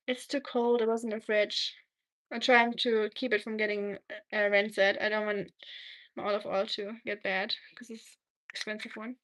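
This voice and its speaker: A German-accented woman hosts a casual cooking livestream, sharing kitchen tips and replying to viewers as she works. Her voice sits a little higher than most women's. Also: low-quality recording. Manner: chatty, reads and answers viewer comments while cooking.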